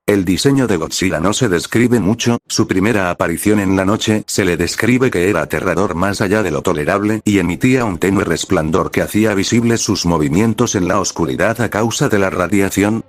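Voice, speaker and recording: A man narrating a scripted retrospective video as its presenter. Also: sits low.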